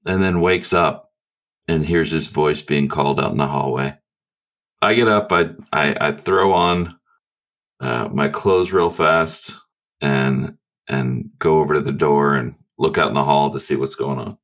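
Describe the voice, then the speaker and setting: A man playing a tabletop game, telling his character's actions with slow amusement. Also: very deep voice, fairly bright tone. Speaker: player.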